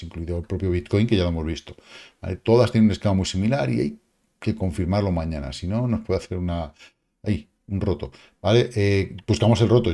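A man, casually commenting on crypto charts in a lively, slangy way, with exclamations and sound effects, recorded at a home desk. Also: fairly low pitch. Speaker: crypto market analyst. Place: home studio, indoors. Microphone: webcam framing, seated at desk.

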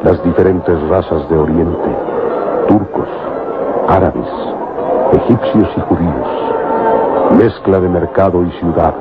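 An actor as the narrator of an old adventure radio serial, storytelling in a solemn, measured, declamatory way. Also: male voice, low-pitched, fairly even intonation, phone-quality sound, over loud music. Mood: evocative.

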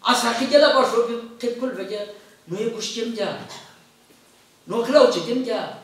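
High-pitched preacher man in echoing hall. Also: moderate pace, fairly bright tone. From Nagaland, India. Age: middle-aged. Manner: emphatic, declamatory, repeating phrases. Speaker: pastor, preacher. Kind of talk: sermon. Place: indoor church hall, at a pulpit. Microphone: microphone on a stand at the pulpit. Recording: echoey hall, picked up from a distance.

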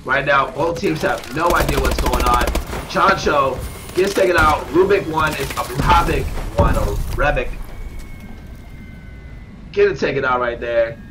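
A man with a stuffed-up nose, commentating live at a gaming tournament, getting excitable on big kills. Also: mid-range voice, unhurried. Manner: excitable, shout-outs to viewers, bursts of hype on kills. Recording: noisy with game gunfire behind.

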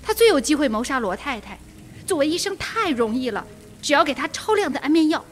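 A woman playing a polite, nervy young woman in a Mandarin radio drama, with expressive delivery. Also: sits high, brisk, a little hiss or hum. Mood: anxious, polite.

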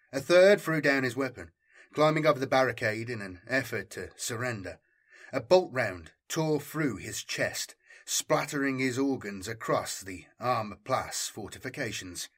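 Male narrator, husky voice, mid-range pitch, dramatic scripted delivery, military sci-fi audiobook. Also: fairly bright tone.

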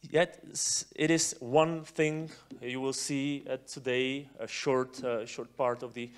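Halting male festival host on stage. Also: medium pitch, flat delivery, clean tone. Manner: halting, repetitive phrasing. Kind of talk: informal stage introduction. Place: cinema stage, indoors. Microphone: handheld microphone.

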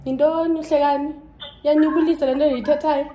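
A woman's voice: high voice, level tone, fast, mellow. A young woman, talking in the manner of a prank phone call. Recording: roomy echo, faint ambient noise.

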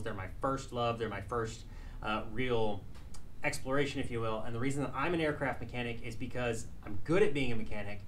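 A man's voice: medium pitch, a little hiss or hum. A young man, an aircraft mechanic, talking casually to camera in an indoor workshop, rambling and correcting himself as he goes. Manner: rambling, with restarts and self-corrections. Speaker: aircraft mechanic and channel host. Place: indoor workshop classroom with benches and tool cabinets.

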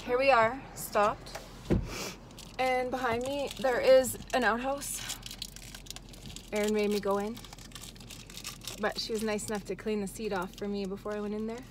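A woman's voice: on the high side, fairly even intonation, unhurried, long pauses, background noise. A woman in her forties, chatting casually to a phone held at arm's length in a travel vlog, giggling now and then. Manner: chatty, giggly, talks to camera. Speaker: vlogger. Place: car, restaurant and hotel hallway. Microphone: phone camera at arm's length.

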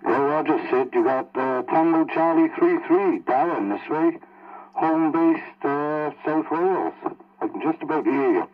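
A man's voice: a little high, unhurried. A man chatting casually as a CB operator, heard over a radio. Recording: dull, distant, noisy CB radio.

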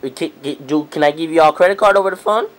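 A young man speaking casually as a customer on a prank phone call, halting and repeating himself. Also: a little high. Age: young adult. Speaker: prank caller, video host. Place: small room at home.

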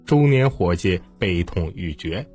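A man's voice: low voice, lively intonation, measured pace, a little mellow. A man narrating a story in a dramatized, scripted audiobook style. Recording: music bed behind the voice.

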